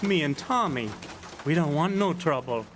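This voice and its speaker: An actor playing a timid, simple, anxious peach farmer in a live radio drama, meek and plaintive. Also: male voice, fairly high pitch, lively intonation, normal speed, a bit bright. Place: live theater stage. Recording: noisy live amphitheater stage recording.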